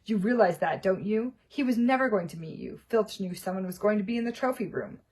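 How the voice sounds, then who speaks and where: A woman's voice: mid-range voice, expressive, a little fast, darkish tone, a little hiss or hum, lightly acted. A woman voicing a short-tempered, know-it-all schoolgirl who lectures and snaps. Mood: lecturing. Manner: snappish, lecturing.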